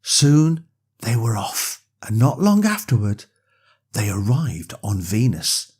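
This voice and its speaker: An unhurried storyteller reads a children's bedtime tale. The voice is husky and moves with lively, rising and falling pitch.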